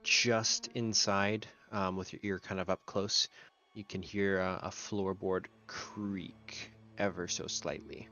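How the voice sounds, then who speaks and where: A man narrating a tabletop game as game master in a halting, informal way, with muffled sound. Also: sits low, flat delivery, sharp tone. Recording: muffled audio over background music. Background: music.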